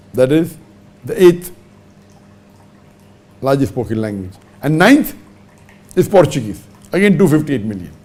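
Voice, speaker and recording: Man, fairly high voice, mellow dark tone, methodical lecture-style answer, rattles off figures, emphatic pitch jumps, English not his first language. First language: English not his mother tongue. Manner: methodical, reels off figures and rankings one by one; emphatic upward pitch jumps on key words. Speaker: religious speaker answering a question.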